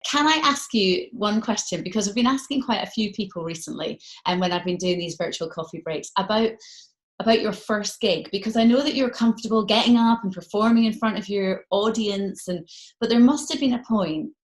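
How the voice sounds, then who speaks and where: A woman's voice: mid-range voice, fairly quick. Woman interviewer in an echoey room. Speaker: radio presenter interviewing. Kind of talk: casual interview chat. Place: remote video call. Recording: echoey room on a remote call.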